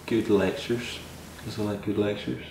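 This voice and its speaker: Low-voiced man in an echoey room. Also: level tone, moderate pace, darkish tone. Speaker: student interviewee. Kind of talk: casual interview. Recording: echoey room, faint ambient noise.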